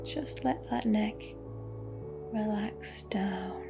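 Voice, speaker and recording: Very slow, soft-voiced woman guiding meditation. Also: mid-range voice, gravelly. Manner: gentle, unhurried, with long pauses. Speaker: meditation guide. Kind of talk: guided meditation, spoken softly and soothingly. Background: music.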